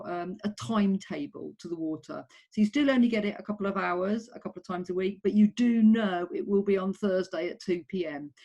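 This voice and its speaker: A middle-aged woman answering questions in an interview. She speaks in an earnest, conversational way, often pausing on um and correcting herself. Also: fairly low pitch, darkish tone. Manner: earnest, frequent ums, self-corrections. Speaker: tourism researcher, interviewee.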